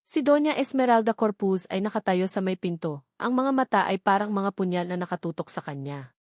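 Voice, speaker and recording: A woman's voice: medium pitch, expressive, a little fast, dry recording, studio quality. A woman reading a scripted love-drama story as a dramatic narrator.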